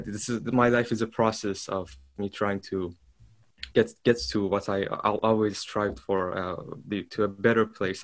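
Halting low-voiced man. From Iran. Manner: halting, searching for words, many restarts. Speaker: podcast guest. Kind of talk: casual interview talk.

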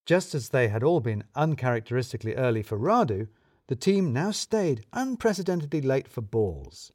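A man's voice: medium pitch, big pitch swings, normal speed, a little mellow. Guy reading a comic mystery, wry, bouncy intonation, no room echo. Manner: dry, wry storytelling. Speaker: audio drama narrator. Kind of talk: scripted first-person narration.

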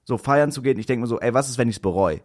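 A man's voice: medium pitch, rapid-fire. A man, co-hosting a podcast, joking crudely with a friend and then rambling about his life. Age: 20s. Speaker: podcast co-host. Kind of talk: casual banter between friends.

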